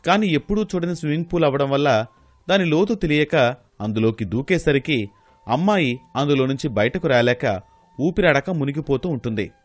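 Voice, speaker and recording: A man's voice: a little high, expressive, a little fast, no echo. A man reading scripted narration for a moral story.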